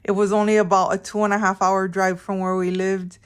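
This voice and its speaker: A woman's voice: mid-range voice, flat delivery, brisk, smooth. A middle-aged woman from Miami chatting casually to her viewers in a rambling, informal way, often interrupting herself. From Miami, Florida, United States. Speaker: vlog host. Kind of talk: casual chatty personal storytelling.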